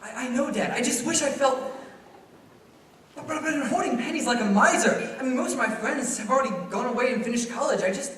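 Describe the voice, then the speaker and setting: A young man acting an eager, restless young dreamer in a stage radio play, with a hesitant, excitable delivery. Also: very high voice, a little fast. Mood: earnest, frustrated. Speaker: school play actor. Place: school theater stage. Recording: echoey hall, distant mic, faint noise.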